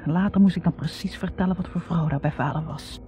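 An actress playing a bitter, reflective young woman academic in a 1960s radio drama, speaking in a halting, confessional way. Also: lowish voice, mellow, faint music behind, natural voice. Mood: wistful recollection. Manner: halting, confessional, repeating words. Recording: muffled 1960s radio recording.

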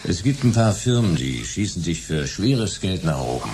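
A man's voice: low voice, very animated, normal speed, noisy background. A man playing a world-weary private detective in a sci-fi radio drama, speaking with dry, laconic irony. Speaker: narrator and lead character. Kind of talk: hard-boiled radio drama narration and dialogue.

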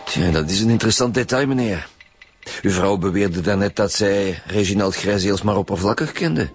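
An actor playing a polite but insistent senior detective in a radio drama, dry and formal, with a Flemish accent. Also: male voice, fairly low pitch, animated, moderate pace, natural voice. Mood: politely insistent.

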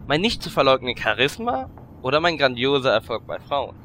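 A man's voice: mid-range voice, sing-song, a little fast, pushed and bright, slight background noise. A man voicing a vain, boastful gnome warlock with a pompous, theatrical delivery in a German audio drama parody. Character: vain, self-important male gnome warlock, boastful womanizer.

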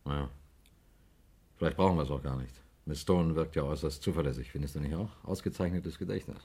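An actor playing a brisk, probing police inspector in a 1960s German radio crime play, with an official, matter-of-fact manner. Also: male voice, rumbling low, dark tone, slightly rough, heavily acted. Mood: businesslike.

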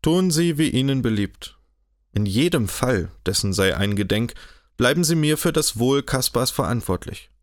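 A man's voice: medium pitch, expressive, measured pace, dry recording, studio quality. A man voicing a stout, stern older official in an audiobook, grave and grumbling. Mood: formal.

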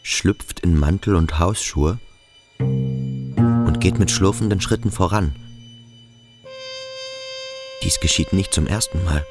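An actor playing a brooding schoolboy in a radio drama, speaking his inner thoughts in a terse, halting way. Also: sits low, lively intonation, over loud music. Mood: hushed observation.

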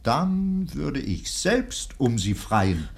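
A man's voice: mid-range voice, big pitch swings, extremely slow, gravelly, exaggerated voice. A man playing a kindly, indulgent old provost in a literary radio drama, affectionate and a little hesitant. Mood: fond, playful. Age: older.

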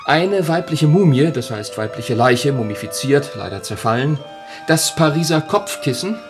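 An actor playing a dry, dutiful, easily flustered English assistant in a radio play, speaking stiffly and slipping into English. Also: male voice, a little high, lively intonation, normal speed, natural voice. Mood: dry, reporting. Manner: itemising, stiff, slips into English. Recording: music behind the voice.

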